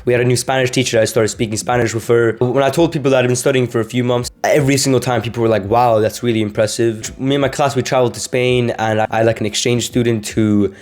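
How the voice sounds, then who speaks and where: A man's voice: medium pitch, fairly even intonation, fast, hardly any pauses, darkish tone. A young man from Sweden, a language-learning vlogger, talking casually to camera, rambling with many fillers and restarts.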